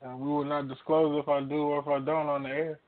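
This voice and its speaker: A man's voice: on the high side, little pitch movement, fast talker, dry recording. A man in his late twenties with an American accent, chatting casually. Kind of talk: casual chat. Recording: noisy, tinny phone-like line.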